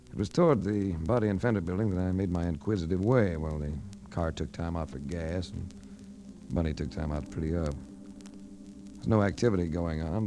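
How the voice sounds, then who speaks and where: A tough American detective from 1940s radio drama speaks in a low register. His delivery is clipped and wry, and music sits under the old recording. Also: dark tone. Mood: narrating. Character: wry, tough male private investigator. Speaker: lead actor and narrator.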